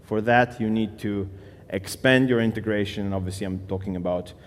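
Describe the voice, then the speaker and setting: A man's voice: fairly low pitch, steady tone, a little fast, big-room sound. A man from Slovenia giving a casual conference talk on stage, with loose phrasing, fillers and restarts. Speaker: conference speaker.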